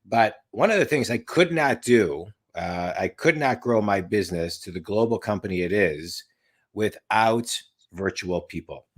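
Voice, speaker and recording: A man's voice: lowish voice, lively intonation, unhurried. A man in his late forties hosting an interview over a video call from a home office, talking in an emphatic, rambling way and repeating his points. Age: 40s. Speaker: podcast host and business coach. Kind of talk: casual interview chat.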